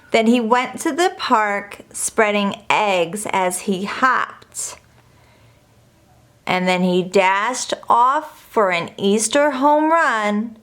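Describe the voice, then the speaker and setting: A woman reading a rhyming children's picture book aloud in an expressive, deliberate storytelling manner. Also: medium pitch. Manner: measured, deliberate storytelling delivery. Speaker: storybook reader.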